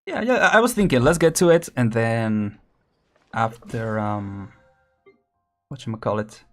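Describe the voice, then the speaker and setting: A man's voice: mid-range voice, unhurried, a bit bright, soft background music. A man casually commenting while streaming a game, rambling and laughing often.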